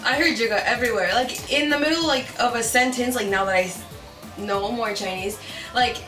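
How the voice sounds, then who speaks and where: A woman's voice: a little high, brisk, roomy sound, distant mic, music in the background, low-quality recording. A woman from Mexico co-hosting a vlog, chatting casually with many "like" fillers. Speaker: co-host. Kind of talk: casual chat.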